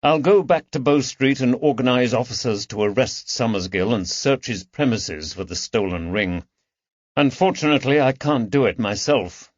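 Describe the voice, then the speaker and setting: A man voicing a composed, methodical police detective with a measured, authoritative manner in an audiobook. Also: fairly low pitch, dry recording, muffled recording. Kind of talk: audiobook character dialogue.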